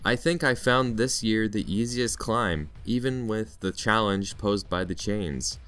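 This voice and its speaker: A man's voice: on the low side, unhurried, a bit bright. A Canadian man narrating a scripted vlog in a playful storytelling way with mock-dramatic flourishes. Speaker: vlog narrator, teacher in Japan.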